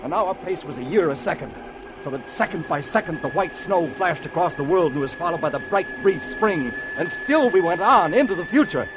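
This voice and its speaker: Man as a dramatic, high-pitched old-radio storyteller. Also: lively intonation, brisk, pauses often, natural voice. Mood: breathless wonder. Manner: grave, urgent, theatrical. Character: dramatic male storyteller of an adventure. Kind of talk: 1950s radio drama narration. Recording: muffled, noisy vintage radio recording.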